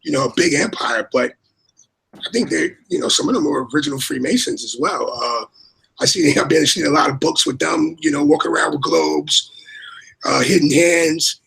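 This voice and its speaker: A man with a raspy voice chatting casually as a livestream co-host, rambling and repeating his words. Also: on the high side, moderate pace, fairly bright tone, low-quality recording. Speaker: co-host and moderator.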